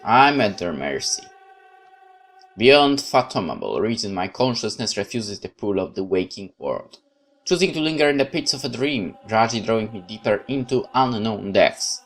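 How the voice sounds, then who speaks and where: A man's voice: fairly low pitch, expressive, moderate pace. Man reading a horror game aloud. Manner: dramatic narration with casual asides. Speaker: let's play host. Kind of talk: reading a visual novel aloud with commentary.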